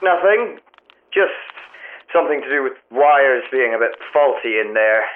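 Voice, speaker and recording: A man's voice: medium pitch, animated, measured pace. A man playing an evasive, awkward phone-line technician in a naturalistic audio drama, speaking through a telephone filter. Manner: hesitant, evasive, apologetic. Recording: tinny phone line with faint music.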